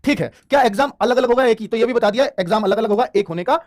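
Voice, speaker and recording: A man's voice: very high-pitched, very fast, a little mellow. A man giving an informal explainer lesson as a coaching teacher, emphatic and repetitive, often checking in with his students.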